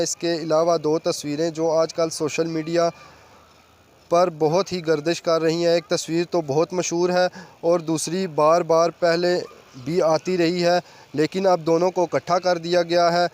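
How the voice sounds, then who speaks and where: High-pitched, flat-toned male news commentator. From Pakistan.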